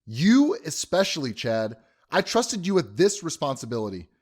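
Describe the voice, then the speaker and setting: A man's voice: fairly high pitch, very animated, normal speed, husky. A man playing an exasperated, scolding young groom in an audio drama. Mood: angry, hurt. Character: exasperated groom, scolding his wedding party.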